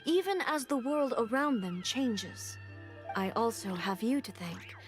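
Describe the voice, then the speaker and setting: Stern regal game ruler lady, highish solemn voice, formal n commanding, music under it. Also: lively intonation, measured pace. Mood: calm, reflective. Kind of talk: scripted video game voice acting.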